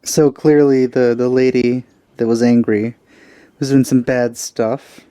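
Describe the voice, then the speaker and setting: A man's voice: medium pitch, fairly even intonation, unhurried, warm tone, clear, resonant tone, dry recording. A man giving casual commentary while he plays a video game. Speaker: video game let's player.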